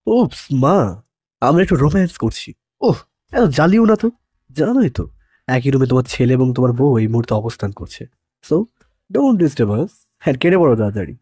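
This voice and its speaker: A man's voice: medium pitch, sing-song, normal speed, long pauses, dark tone, breathy edge, no echo. A man playing a playful, protective young husband in a romantic audio story, mixing in some English words. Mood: playful, teasing. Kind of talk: dramatized audio story dialogue.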